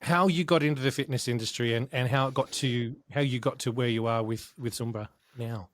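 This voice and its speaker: A man's voice: medium pitch, brisk. A man hosting a podcast interview, talking enthusiastically in long rambling turns. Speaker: podcast host.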